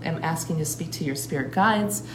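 A woman who works as a shamanic priestess, talking earnestly in a flowing way and pausing often to find her words. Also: low voice. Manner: earnest, flowing, with frequent filler pauses. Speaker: shamanic priestess and guest speaker. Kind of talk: casual spoken account. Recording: roomy recording with clear background noise.

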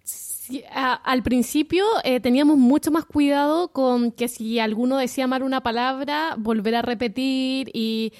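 A woman with a Chilean accent, a storyteller explaining things in a steady, casual way on a panel. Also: sits high, unhurried, no echo, clean studio sound. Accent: Chilean Spanish. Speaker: storyteller guest.

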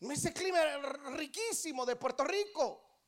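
Woman, thirties, Guatemalan accent, very high-pitched, sermon delivery, emphatic, repetitive phrasing, rhetorical questions. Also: animated, measured pace. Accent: Guatemalan Spanish. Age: 30s. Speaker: preacher. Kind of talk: church sermon.

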